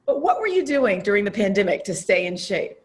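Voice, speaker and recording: A woman's voice: medium pitch, sing-song, a little fast. A woman interviewing guests in a conversational broadcast style. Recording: clearly noisy background with clicks.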